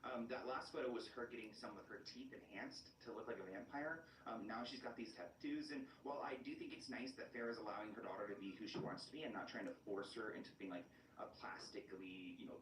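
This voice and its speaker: A man gives celebrity gossip commentary in a chatty, opinionated way, reading out comments with plenty of likes. His voice sounds roomy and set back from the mic. Clear noise runs under the audio. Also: on the low side, a little fast, fairly bright tone. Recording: echoey video audio from a distance, with noise.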